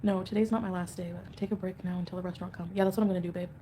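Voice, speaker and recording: A woman's voice: on the low side, steady tone, racing, dark tone, slight background noise. A woman hosting a casual livestream at home, chatting with viewers and answering comments in a rambling, defensive way. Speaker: livestream host.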